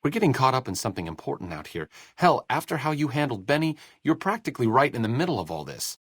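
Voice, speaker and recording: A man's voice: mid-range voice, very animated, quick pace, husky, dry recording. A man playing a wry, bookish, self-deprecating doctor and researcher in a video game, with dry, erudite understatement. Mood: earnest. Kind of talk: video game character dialogue.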